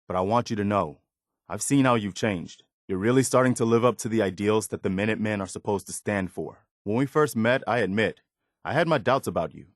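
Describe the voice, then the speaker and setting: An actor playing an earnest, idealistic militia soldier in a post-apocalyptic video game, speaking in a sincere, plain-spoken, measured way. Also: male voice, on the low side, no room sound, studio quality, lightly acted. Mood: earnest, frank. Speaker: video game companion character. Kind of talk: video game voice acting, naturalistic dialogue.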